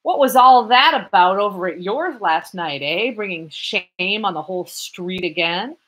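A woman voicing a hot-tempered, bullying male police lieutenant who scolds loudly. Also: on the low side, lively intonation, unhurried. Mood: angry scolding. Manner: shouting, scolding.

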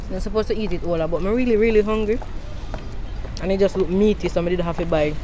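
A woman's voice: mid-range voice, fast talker. A young woman with a Jamaican accent chatting casually and playfully to her phone camera out on a city street. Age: young adult. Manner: playful, teasing. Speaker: vlogger. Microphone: phone at arm's length. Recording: noisy busy street, handheld recording.

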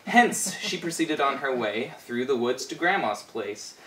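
A man's voice: medium pitch, expressive, unhurried, sharp tone. A man in his twenties performing a rhyming spoken-word fairy tale with a playful, rhythmic delivery. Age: 20s. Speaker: storyteller performer. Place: small bare indoor room. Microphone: far camera microphone. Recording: roomy, distant camera mic with background noise.

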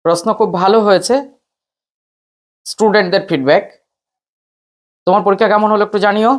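A man's voice: high-pitched, steady tone, fairly quick. A man talks students informally through an exam paper as their teacher, announcing item by item in a repetitive way.

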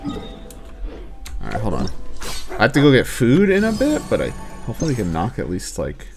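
A man's voice: mid-range voice, huge pitch swings, normal speed, gravelly. A man doing casual let's play commentary and chatting with viewers in a wry, mock-exasperated way. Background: faint game music.

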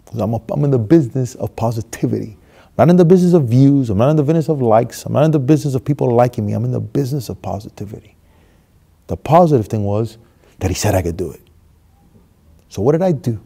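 A man in his thirties from Miami tells a motivational story, swinging between hushed and loud as he acts it out. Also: mid-range voice, lively intonation, quick pace, vocal fry, husky. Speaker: motivational speaker. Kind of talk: storytelling monologue. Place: stage with red curtain, seated.

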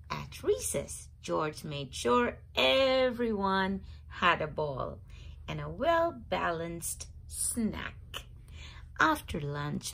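A woman's voice: lowish voice, gravelly, slightly rough. A woman reading a children's story aloud expressively, drawing out key words for young listeners. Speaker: storybook reader.

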